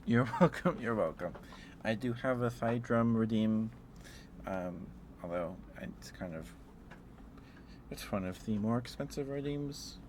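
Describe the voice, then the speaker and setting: A man chatting in a rambling, affectionate ASMR style to live viewers, speaking softly into a close microphone. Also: lowish voice, a little slow. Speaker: ASMR livestreamer. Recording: clear background noise.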